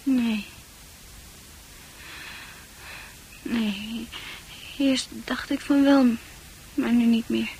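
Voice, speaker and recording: Casting: dreamy, troubled young female confidante for Dutch radio drama, high-pitched, poetic and earnest. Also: fairly even intonation, takes it slowly, creaky, warm tone, slight background noise, rough recording. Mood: troubled, subdued. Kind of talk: Dutch radio play acting.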